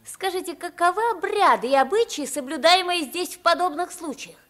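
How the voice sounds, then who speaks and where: A woman's voice: very high-pitched, a little fast. An actress playing a naive, plain-spoken poor boy taken for a king, in an old radio drama. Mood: curious. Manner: boyish, naive, expressive. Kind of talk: Soviet 1950s radio play acting.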